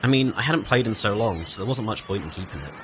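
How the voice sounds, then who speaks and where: Casting: easygoing, self-deprecating young guy, lively pitch movement, bright voice, naturalistic audio drama, faint background noise. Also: quick pace. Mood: modest, matter-of-fact. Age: young adult. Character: laid-back young working man, former guitarist, modest, joking. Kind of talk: naturalistic audio play dialogue.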